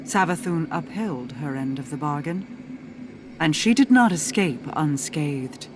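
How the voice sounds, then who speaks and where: A woman's voice: deep voice, lively intonation, unhurried, background noise. A woman voicing a stern, calculating queen in a video game, speaking in a formal, imperious manner. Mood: composed, solemn.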